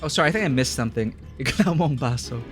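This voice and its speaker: A man's voice: medium pitch, expressive, very fast, fairly bright tone, faint music behind. A man casually chatting while streaming a video game, laughing often and sometimes putting on mocking villain voices. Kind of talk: casual live-stream commentary.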